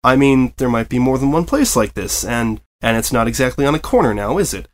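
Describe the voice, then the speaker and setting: A man plays a male pony newspaper reporter from a storybook, keyed up and anxious. He rambles nervously and leans into eager politeness, with his pitch a little raised. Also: animated, brisk, natural voice. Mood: awkward, rambling. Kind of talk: storybook character voice.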